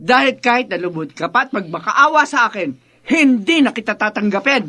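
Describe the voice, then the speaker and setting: A woman's voice: a little high, lively intonation, fairly quick, bright, forceful tone, dry recording. An actress playing a stern, bitter mother in a melodramatic radio drama, scolding curtly. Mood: bitter, angry. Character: angry, stern mother disowning her daughter.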